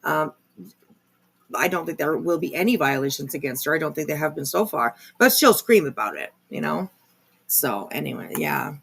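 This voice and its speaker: A woman with a fairly low, heavily creaky voice hosts a casual livestream reaction. She rambles through her commentary in a sardonic, confrontational way. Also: big pitch swings, normal speed, a bit bright. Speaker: livestream host reacting to another creator's video.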